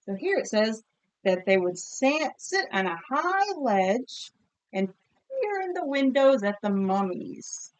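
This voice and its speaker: A woman's voice: fairly high pitch, sing-song, slow pace. A woman teacher leading a read-aloud vocabulary lesson with children, encouraging and questioning, enunciating words for pupils to repeat.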